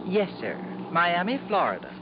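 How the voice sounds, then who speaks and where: Courteous stewardess over loud engine noise. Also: female voice, lowish voice, very animated, normal speed. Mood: polite, apologetic. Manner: courteous, apologetic. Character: polite, brisk airline stewardess. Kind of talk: 1950s radio acting. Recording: dull, very noisy 1950s radio recording.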